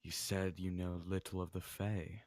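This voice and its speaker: A man at a table read playing a grave knight, solemn and portentous, in the manner of one telling dark legends. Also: low voice, little pitch movement, normal speed, natural voice. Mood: solemn, ominous.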